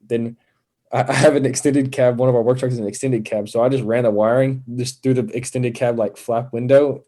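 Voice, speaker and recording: A man's voice: medium pitch, fairly even intonation, brisk, dark tone, slight background noise. A young man speaking as a podcast guest, chatting in an informal, earnest way. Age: young adult. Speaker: podcast guest, landscaping business owner. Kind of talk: casual chat.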